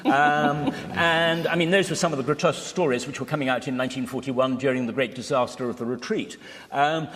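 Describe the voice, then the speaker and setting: Man explaining history in an echoing hall. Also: mid-range voice. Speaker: panel guest, historian. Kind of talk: historical panel discussion. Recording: reverberant hall.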